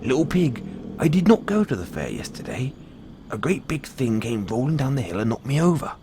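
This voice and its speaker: A man's voice: medium pitch, big pitch swings, brisk, mellow, voice pushed up. Guy doing a sly hungry wolf from a storybook, coaxing and menacing, swoopy delivery, noise behind. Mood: aggrieved.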